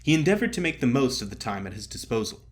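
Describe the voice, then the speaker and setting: A man performs a humorous story as a narrator. His delivery is theatrical and expressive, with dry wit and a pitch that moves widely from phrase to phrase. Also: fast. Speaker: narrator, actor. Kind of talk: dramatic comic story narration.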